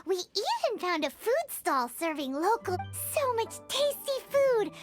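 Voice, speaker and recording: A squeaky, childlike fairy sidekick from a video game. The voice sits extremely high and bounces around in pitch with eager, chirpy energy. Music plays behind it. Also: female voice, sing-song, measured pace, full character voice. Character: excitable little floating fairy child companion, chirpy and eager. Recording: music under the voice.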